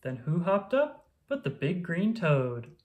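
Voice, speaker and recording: Slow, expressive children's storybook reader. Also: mid-range voice. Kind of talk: children's picture book read-aloud, rhyming narration.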